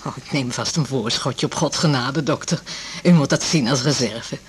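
Breathy, chatty fifty-something woman patient. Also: rumbling low, moderate pace, heavily acted. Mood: confiding, chatty. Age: 50s. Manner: rambling, confiding, hesitant. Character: chatty, anxious fifty-year-old divorced female patient. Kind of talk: naturalistic radio drama dialogue.

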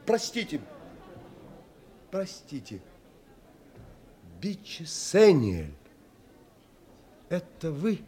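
An actor playing an earnest, courteous young gentleman, narrator and romantic hero of a Russian audio drama, with formal, polite phrasing. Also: high voice, a little hiss or hum, heavily acted. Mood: eager, courteous.

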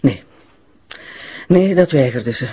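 Husky middle-aged Flemish woman doctor. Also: a bass voice, expressive, slow pace, warm tone, full character voice. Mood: hesitant, troubled. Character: middle-aged female doctor, polite, guarded mother. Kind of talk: radio drama acting.

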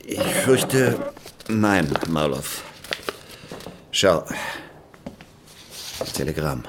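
An actor playing a gruff, self-important Soviet provincial official in a German radio play, speaking deliberately. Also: male voice, a bass voice, big pitch swings, background noise, heavily acted. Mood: grave, confiding. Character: slow, gruff male Soviet provincial boss helping the detective.

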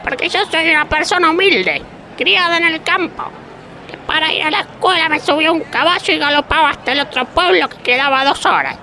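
A woman's voice: high-pitched, fairly quick, bright, forceful tone, rough recording. An actress playing an indignant, rambling old working woman with an Argentine accent in a comic radio play. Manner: argumentative, rambling, self-contradicting complainer. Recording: faint vehicle and street noise, people behind.

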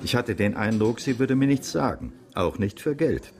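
An actor playing a dry, composed male investigator in a radio crime drama, with a deliberate, understated delivery. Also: mid-range voice, expressive, darkish tone, natural voice. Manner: deliberate, understated, slightly old-fashioned wording. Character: dry, composed widowed male investigator reporting his findings.

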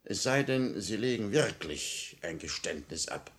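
A man's voice: fairly low pitch, lively intonation, unhurried, fairly bright tone. A man acting a smugly courteous, manipulative police minister with mocking politeness in a 1950 radio play. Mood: coolly threatening. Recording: vintage 1950 radio recording.

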